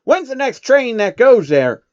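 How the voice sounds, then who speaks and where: A man's voice: very high-pitched, very animated, measured pace, darkish tone, no echo, dull audio, pitched above the performer's own voice, exaggerated voice. A man voicing a hot-tempered young female country pony in a story reading, exclamatory and folksy. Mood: urgent.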